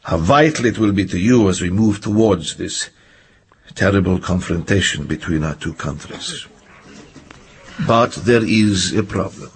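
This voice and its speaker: Radio drama role: low-voiced Soviet colonel, middle-aged, grave and earnest, faint noise behind. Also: male voice, a little slow. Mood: cautious, confiding. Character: grave, earnest Soviet intelligence colonel turning secret informant.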